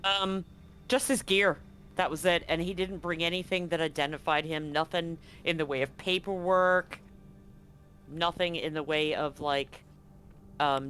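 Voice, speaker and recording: A game master narrating a tabletop game in a conversational way, with dramatic pauses. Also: mid-range voice, a bit bright.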